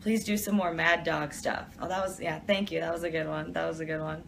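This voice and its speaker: A woman's voice: low voice, flat delivery, brisk, gravelly, fairly bright tone, a little hiss or hum. A young woman from Detroit, chatting casually as she reads out viewer questions and answers them in an office during a live stream. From Detroit, USA.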